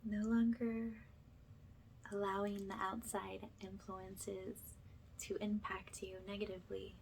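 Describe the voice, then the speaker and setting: Soft, slow, whispery woman's ASMR voice. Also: mid-range voice, level tone, slight background noise, low-quality recording. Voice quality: soft, breathy, often slipping into whisper. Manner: gentle, slow, reassuring, affirming. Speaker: ASMR energy healer. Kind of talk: spiritual ASMR, guided energy healing.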